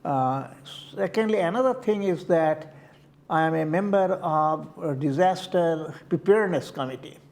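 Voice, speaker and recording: A man's voice: a little high, expressive, moderate pace, darkish tone. An older man with an Indian accent, answering interview questions about his community committee work, hesitant with many fillers and restarts. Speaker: community board member.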